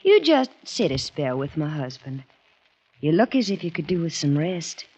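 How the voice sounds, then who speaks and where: Husky, gracious wife. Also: female voice, deep voice, very animated, normal speed, darkish tone, heavily acted. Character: hospitable Southern wife, gracious and gently bossy. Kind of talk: 1940s-50s radio acting. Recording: dull vintage radio recording.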